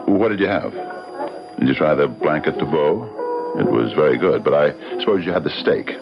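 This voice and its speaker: An actor playing an oily, condescending man about town in a radio drama, drawling and needling with mock courtesy in a gravelly voice. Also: extremely low pitch, lively intonation, normal speed. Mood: needling, suave. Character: smooth, menacing man, oily and condescending socialite. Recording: dull old radio recording, loud music.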